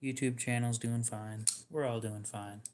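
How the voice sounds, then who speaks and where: A man hosting a livestream in casual chat, talking in a dry, monotone, subdued way that sounds depressed to him. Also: medium pitch. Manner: dry, self-deprecating, unhurried. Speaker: livestream host.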